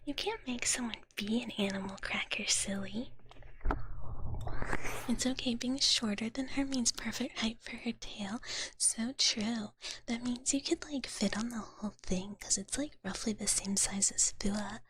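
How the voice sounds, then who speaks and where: A woman whispers in a very breathy, airy voice, close to the microphone. Her pace is slow and her pitch sits a little high. She chats to live viewers in a gentle, playful ASMR style. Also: rough recording. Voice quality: breathy, mostly unvoiced whisper. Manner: gentle, playful, speaks of herself in third person. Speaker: livestream ASMR host in a virtual dragon big-sister persona.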